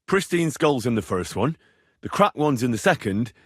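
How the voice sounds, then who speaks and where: A young medieval man from a video game, stubborn and cheeky. His voice is husky and sits at a middling pitch, recorded close and dry. He talks in a blunt, earthy, conversational way. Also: sing-song, moderate pace, no room sound. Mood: matter-of-fact. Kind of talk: video game character dialogue.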